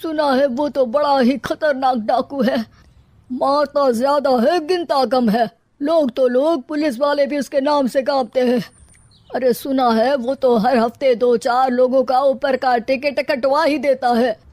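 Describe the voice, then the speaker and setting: A woman's voice: sits high, steady tone, measured pace, a little mellow, no echo. A woman voicing a nervous, cowardly middle-aged father-in-law in a cartoon, flustered and dramatic. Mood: alarmed.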